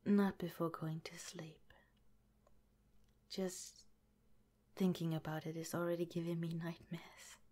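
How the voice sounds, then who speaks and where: A woman's voice: on the low side, level tone, slow, long pauses. A woman playing a whispering, tender lab director. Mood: soothing. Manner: soft, tender, reassuring. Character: gentle caring female head scientist, middle-aged, soothing. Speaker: institute director in an audio roleplay. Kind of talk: ASMR roleplay.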